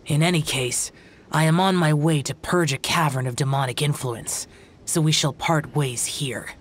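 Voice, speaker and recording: A man's voice: on the high side, steady tone, normal speed, fairly bright tone. An actor playing an aloof young male demon-hunting warrior in a video game, speaking in a formal, cold and grave way.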